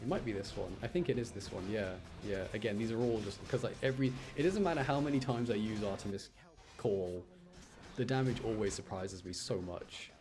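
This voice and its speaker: Guy with a lowish voice talking over game clips, gets excitable and repeats himself, music playing behind. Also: fairly quick. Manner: excitable, repeats phrases for emphasis. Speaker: gamer showing gameplay clips. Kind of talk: casual gameplay commentary.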